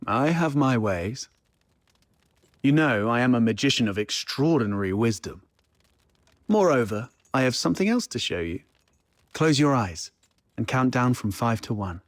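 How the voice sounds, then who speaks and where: A man's voice: medium pitch, expressive, normal speed, long pauses, no echo, natural voice. A man voicing a protective older brother in a video game, casual and caring with a playfully boastful streak. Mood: playful, evasive.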